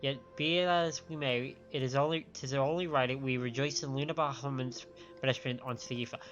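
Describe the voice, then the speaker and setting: A man streams a game over clear background music, his sound a little dull and lo-fi. He reads the dialogue aloud, tripping over words as he goes, and adds his own reactions. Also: medium pitch, steady tone, brisk, a bit bright. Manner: stumbles over words when reading aloud.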